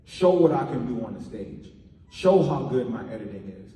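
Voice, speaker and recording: A man's voice: medium pitch, very animated, normal speed, warm tone, roomy sound, big-room sound, recorded from a distance. A man from Trinidad giving an earnest, emphatic motivational talk at a media workshop. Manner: earnest, emphatic, with repeated phrases.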